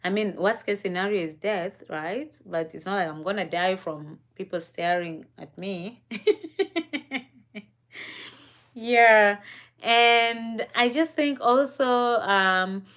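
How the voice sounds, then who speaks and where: A young woman from East Africa speaks at a very slow, deliberate pace. Her middle-pitched voice drifts through a personal story, pausing on fillers and breaking into soft laughs. She sounds casual and thoughtful, like a live stream host talking straight to her viewers. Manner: reflective, unhurried, with frequent fillers and soft laughs.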